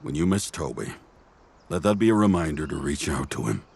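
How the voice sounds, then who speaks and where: Casting: male actor as easygoing family friend, low-pitched, playful, wry, kind-hearted, conversational drama delivery. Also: sing-song, fairly quick, gravelly, a little mellow, slightly rough, heavily acted. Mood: kind, encouraging. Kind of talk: conversational audio drama dialogue.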